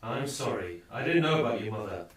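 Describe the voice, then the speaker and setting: A man's voice: mid-range voice, animated, a little fast, far from the mic, lightly acted. Man acting an earnest police inspector, echoey. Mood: quiet concern. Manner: formal, resolute. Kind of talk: steampunk radio play acting. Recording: very echoey room, noisy, off mic.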